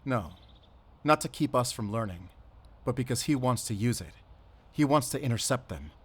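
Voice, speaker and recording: A man's voice: mid-range voice, expressive, moderate pace, husky. A man playing a determined, defiant investigator on the run in a cinematic audio drama, speaking with intensity. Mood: focused, deliberate. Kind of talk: cinematic audio roleplay acting.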